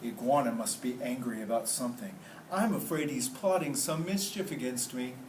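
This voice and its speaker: A man's voice: mid-range voice, moderate pace, close to an everyday voice. A man voicing a big, wary and suspicious python from a storybook. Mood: suspicious, worried. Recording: roomy, noisy classroom, distant mic.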